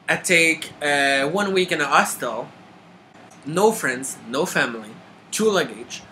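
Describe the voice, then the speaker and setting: Quebec man, thirties, bright crisp voice, stop-start delivery, faint hiss behind. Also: fairly high pitch. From Quebec, Canada. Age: 30s. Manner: halting, many restarts and filler. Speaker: makeup artist auditioning. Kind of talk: casual self-introduction to camera.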